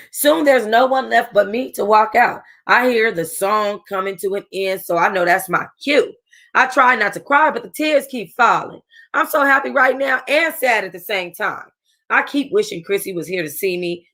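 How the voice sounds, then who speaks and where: A woman's voice: medium pitch, a bit bright. Casual woman reading a novel aloud. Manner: casual, chatty asides to listeners; stumbles and rereads lines. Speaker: book club reader.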